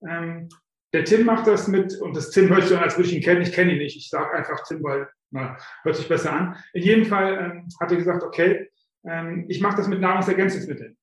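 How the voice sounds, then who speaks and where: A man's voice: high voice, a little fast. A man in his fifties, a guest author coach telling his story in a chatty, self-deprecating way, with frequent filler sounds. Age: 50s. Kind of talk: casual interview storytelling. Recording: very echoey room.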